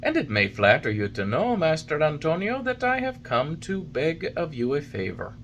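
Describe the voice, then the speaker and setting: A reader voicing a hot-tempered little old male woodcarver who talks in an eager, indignant way and flares up quickly. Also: wildly swooping pitch, fairly bright tone, slight background noise. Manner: shrill, indignant, quick to anger.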